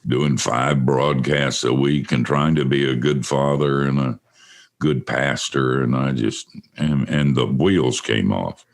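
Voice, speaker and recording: An older man with a deep voice hosting a casual studio chat, telling stories with self-deprecating humor. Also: steady tone, slow, vocal fry. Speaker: host.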